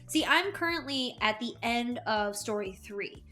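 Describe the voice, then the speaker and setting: High-voiced, enthusiastic woman reviewing books. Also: lively intonation, a little slow, sharp tone, soft background music. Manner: enthusiastic, emphatic. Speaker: co-host of a book review show. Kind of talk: casual chatty book review.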